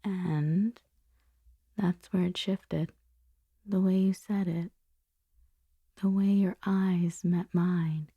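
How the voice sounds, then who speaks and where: A woman playing a controlled, powerful boss torn by forbidden attraction, in an intimate ASMR roleplay, speaking softly and deliberately with lingering pauses, often dropping to a whisper. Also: lowish voice, steady tone, slightly rough, no echo, phone-quality sound, exaggerated voice. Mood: hushed, seductive. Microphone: close, dry microphone.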